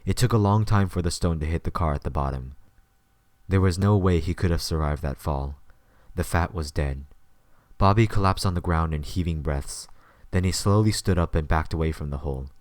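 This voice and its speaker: Casting: male narrator, low register, close dry recording, scripted storytelling. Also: darkish tone, no room sound.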